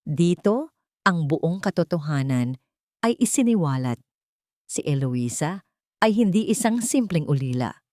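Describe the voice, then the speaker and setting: A woman's voice: low-pitched, very animated, a little slow, pauses often, a little mellow, no room sound, studio quality. A woman with a Filipino accent narrating a dramatic scripted story in a solemn manner.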